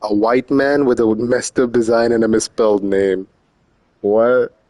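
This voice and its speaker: A man's voice: medium pitch, steady tone, a little slow, a little mellow, dry recording. A man chatting casually as a game streamer, informal and slangy, with some swearing. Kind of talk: casual livestream chat. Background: faint game music.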